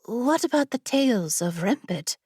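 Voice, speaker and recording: A woman voicing an eager, curious female story-seeker in a fantasy audiobook, earnest and excitable. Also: on the high side, normal speed, breathy edge, dry recording, studio quality. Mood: eager, hesitant.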